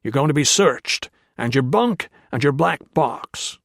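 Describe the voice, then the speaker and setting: A blunt, shrewd officer barks orders and questions, as a male narrator puts him on. His voice is gravelly and husky, pitched above the narrator's own. The audiobook sound is close and dry. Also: lively intonation, measured pace, fairly bright tone, no echo, exaggerated voice. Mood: gruff, commanding.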